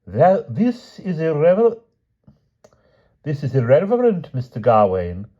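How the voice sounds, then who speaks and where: A man's voice: fairly high pitch, wildly swooping pitch, measured pace, highs cut off, pitched above the performer's own voice, full character voice. A man doing a haughty, stilted foreign knight. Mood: stern. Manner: broken, formal English, lofty and stilted. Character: pompous foreign knight tutor, haughty and stiff.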